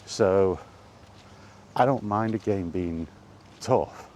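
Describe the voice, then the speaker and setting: A man chatting casually in a rambling solo monologue, halting and musing, often repeating his phrases, recorded outdoors. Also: deep voice, a little mellow, muffled recording, slight background noise. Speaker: host of a gaming chat series. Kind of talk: casual rambling monologue about video games.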